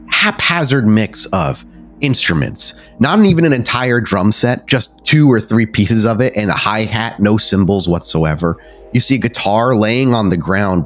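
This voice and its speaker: A man narrating a tabletop game as game master, descriptive and conversational, improvising as he goes. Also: fairly low pitch.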